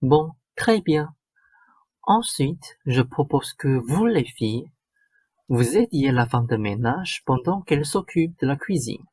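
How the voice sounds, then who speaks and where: A man's voice: mid-range voice, animated, takes it slowly, a little mellow, breathy edge, no echo. A man playing a bossy, short-tempered stepmother in a radio drama, curt and lecturing. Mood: bossy, formal. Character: domineering, short-tempered stepmother laying down the law. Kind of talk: stage-like radio drama acting.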